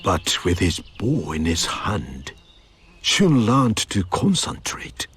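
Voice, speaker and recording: A man voicing an ancient ninja master who narrates a game's story in a theatrical storybook manner, wise, fond and wistful, with measured dramatic pauses. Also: low-pitched, very animated, fairly bright tone, slight background noise, heavily acted. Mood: fond, reflective. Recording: music behind the voice. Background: music.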